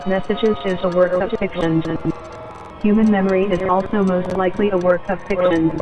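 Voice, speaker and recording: A man reading a scripted podcast introduction as its announcer, in a deadpan manner. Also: high-pitched, normal speed, over music. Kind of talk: scripted narration. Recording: distant, noisy with ambient sound.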